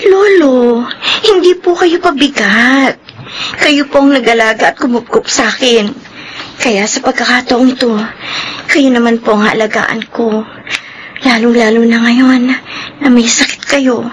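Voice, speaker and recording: High-voiced, troubled young Filipino woman, acted out. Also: measured pace, a little hiss or hum, lightly acted, rough recording. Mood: troubled, tender. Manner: earnest, emotional. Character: poor, devoted, troubled young pregnant mother-to-be. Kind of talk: Filipino radio drama acting.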